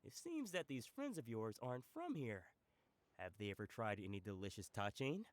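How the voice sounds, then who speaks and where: An actor playing a genial, joking food-stall merchant in a game, hearty and teasing. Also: male voice, mid-range voice, sing-song, normal speed, dry recording, natural voice. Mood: teasing, jovial.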